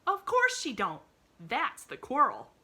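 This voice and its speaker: A woman voicing an excitable young rural housemaid who speaks in a country dialect and gushes breathlessly. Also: fairly high pitch, normal speed, pitched above the performer's own voice, full character voice. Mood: excited.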